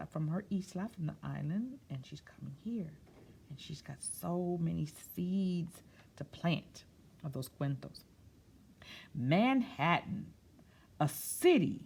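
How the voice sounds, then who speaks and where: A woman reading a picture book aloud for children, stretching key words expressively and pausing for soft explanatory asides. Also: fairly low pitch, animated, vocal fry, breathy edge. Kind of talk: read-aloud story time with explanatory asides.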